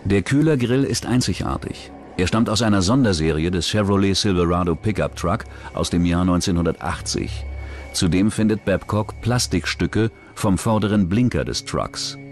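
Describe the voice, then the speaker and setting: A man reading scripted true-crime documentary narration in a measured, factual way. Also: low voice.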